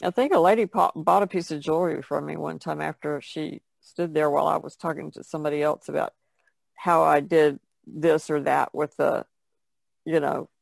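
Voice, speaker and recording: A woman's voice: sits low, steady tone, moderate pace, gravelly, no room sound. A woman chatting casually as a podcast guest on an online call, plain-spoken and matter-of-fact. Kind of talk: casual chat.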